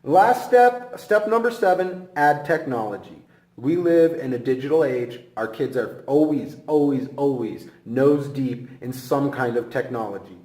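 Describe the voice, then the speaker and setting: A man's voice: mid-range voice, expressive, unhurried, warm tone. A man giving an instructional lesson as a teacher, speaking emphatically and encouragingly straight to the viewer. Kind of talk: instructional presentation. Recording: echoey room.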